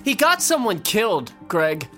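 A woman's voice: medium pitch, sing-song, unhurried, pushed and bright, soft background music. A woman playing a stubborn, resentful young male college rower in an audio drama. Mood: scornful. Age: young adult.

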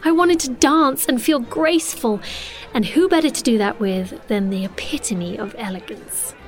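An actress playing an earnest, romantic young sorceress princess, narrating a fantasy audio drama with expressive, breathy delivery. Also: female voice, fairly high pitch, moderate pace, faint music behind, close to an everyday voice. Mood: wistful, elated.

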